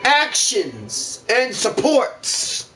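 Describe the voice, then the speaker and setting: A man hosting a casual let's play, excitable and reacting out loud as he goes. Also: very high voice, drawn-out words, soft background music. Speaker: gaming video host.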